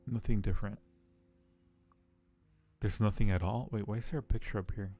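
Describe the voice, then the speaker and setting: A man's voice: fairly low pitch, fairly even intonation, normal speed, mellow, soft background music. A man streaming a game casually, thinking aloud as he plays and reading on-screen text to his viewers. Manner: thinks aloud while playing. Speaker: streamer playing a point-and-click game.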